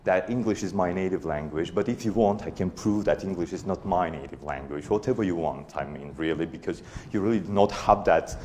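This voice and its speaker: A man's voice: low voice, a little fast, few pauses, a little mellow, slight background noise. A man in his forties speaking at a conference in a hall before an audience, hesitant, with many fillers and restarts. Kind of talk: conference talk.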